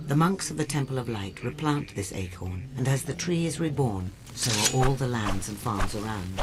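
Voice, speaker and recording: An actor voicing a calm narrator in a video game, reading measured lore narration. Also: male voice, medium pitch, heavy background noise, close to an everyday voice. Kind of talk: scripted narration.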